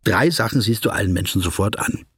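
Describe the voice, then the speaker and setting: A man's voice: on the low side, fairly quick, noisy background, lightly acted. A man acting a pedantic, brooding, awkward corporate lawyer in a German radio drama, fussy and dryly ironic in his digressions. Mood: brooding, self-critical. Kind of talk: German radio drama, dialogue and inner monologue.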